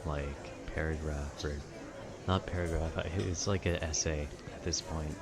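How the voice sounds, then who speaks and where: A young man giving a calm, informal, wry rambling monologue about video games. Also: very deep voice, a little slow, music in the background. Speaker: video creator.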